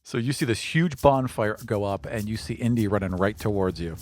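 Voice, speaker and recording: A man narrating and running a tabletop game as game master in a relaxed storytelling manner. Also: fairly low pitch, fairly quick. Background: music.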